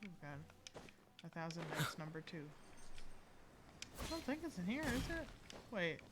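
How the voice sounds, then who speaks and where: A woman's voice: lowish voice, very animated, a little slow, noisy background. A woman giving casual live gameplay commentary, rambling along and playfully talking to the game. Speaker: game streamer. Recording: game music behind the voice. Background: loud video game music.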